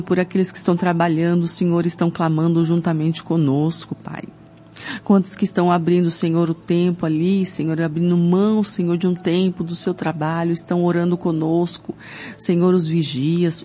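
Casting: Brazilian evangelical prayer leader, mid-pitched, fervent and pleading, constantly invoking God, music underneath. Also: dark tone. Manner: fervent, pleading, punctuated by repeated invocations. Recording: dull lo-fi sound with music behind.

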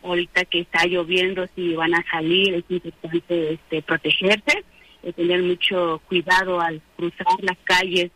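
Bright-voiced woman radio co-host. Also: fairly low pitch, unhurried, no echo, rough recording. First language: Triqui. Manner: relaxed, friendly, many fillers. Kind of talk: casual radio chat.

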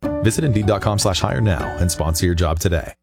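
A man's voice: low voice, fairly quick, loud music behind the voice. A man reading a radio commercial in a dry, matter-of-fact way. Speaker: ad announcer. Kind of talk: radio ad read.

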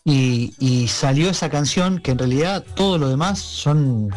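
A man with an Argentine accent speaking as a musician guest in an interview, reflective and halting, often checking for agreement. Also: on the high side, a little mellow. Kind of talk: conversational interview.

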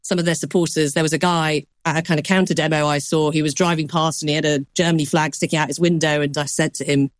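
A woman news correspondent giving a measured explanation on a news program, softening points with qualifiers. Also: deep voice, level tone, no echo, clean studio sound.

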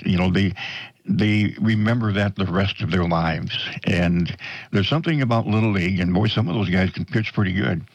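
An older man hosts a local radio interview in a folksy, rambling way, cracking jokes as he goes. His voice is very deep, and the studio mic sounds close and dry, with no room echo. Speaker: radio show host.

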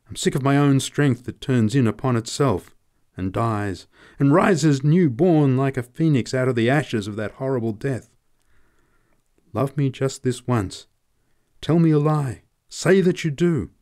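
A man's voice: medium pitch, expressive, a little slow, darkish tone, voice pushed up, close to an everyday voice. Lovesick, bitter man, raised and heated, read by a male narrator. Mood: impassioned. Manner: pleading and sneering by turns, emotional. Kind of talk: audiobook character reading.

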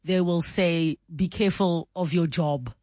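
A woman's voice: fairly low pitch, a little slow, darkish tone, no echo, clean studio sound. A woman, hosting a radio chat, chatty and laughing often as she asks her guests probing questions. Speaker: radio host. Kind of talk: casual on-air chat.

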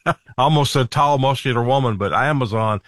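A man's voice: medium pitch, brisk, no echo, clean studio sound. A middle-aged man hosting a casual talk show, chatting in a folksy, easygoing way and chuckling at his own jokes. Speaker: host. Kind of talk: casual radio show chat.

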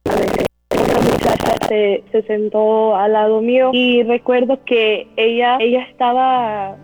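A woman giving a personal faith testimony, earnest and halting, tagging many phrases with a question. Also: on the high side, level tone. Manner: earnest, halting, keeps adding "¿verdad?". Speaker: church member giving a testimony. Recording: tinny phone-like line with faint music.

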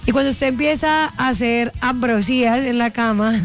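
Woman co-host, higher voice, bawdy and animated, light music bed. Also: moderate pace. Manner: playful, bawdy, animated storyteller. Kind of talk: casual chat.

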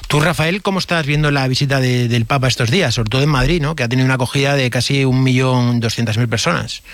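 A man's voice: mid-range voice, fast, dry recording. A man with an accent from Spain presenting a conversational radio segment in a playful, informal way. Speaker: radio show contributor.

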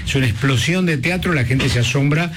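A man with a Rioplatense accent hosting a radio talk show, lively and teasing in conversation. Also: mid-range voice, brisk, background noise. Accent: Rioplatense Spanish. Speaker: radio host. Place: radio studio. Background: music bed.

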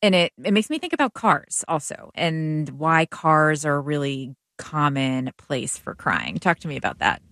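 Woman radio host, very low voice, dry close studio sound, conversational. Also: very animated, normal speed, few pauses, a bit bright, dry recording. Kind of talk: radio interview.

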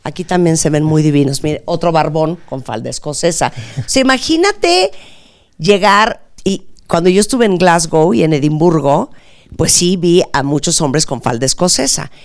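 A woman's voice: deep voice, animated, normal speed. A middle-aged Mexican woman hosting a chat, teasing and exclamatory. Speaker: TV host. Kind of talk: lively playful chat.

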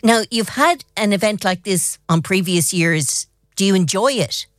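A woman's voice: medium pitch, moderate pace, a little hiss or hum. A woman working as a radio news reporter, presenting a report and asking interview questions.

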